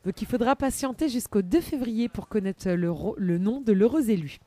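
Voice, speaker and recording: A woman presenting a chatty live radio magazine segment in a bubbly, playful and expressive manner. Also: a little high, normal speed.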